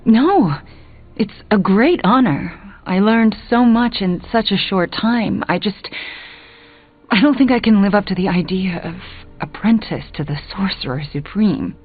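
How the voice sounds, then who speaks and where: Self-doubting young sorceress, muffled. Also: female voice, medium pitch, expressive, unhurried. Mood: earnest, anxious. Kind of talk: video game character dialogue. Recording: muffled phone-like band, music behind.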